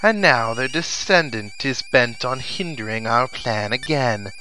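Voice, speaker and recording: A man's voice: mid-range voice, expressive, a little slow, background noise, natural voice. A man voicing a contemptuous alien overlord from a video game, declaiming in a commanding, menacing way. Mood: cold menace. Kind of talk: reading video game dialogue in character. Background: video game music and sound effects.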